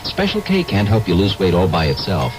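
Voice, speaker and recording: A man reading a 1970s radio commercial in a friendly, conversational pitch. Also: wildly swooping pitch, brisk, over loud music. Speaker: commercial announcer.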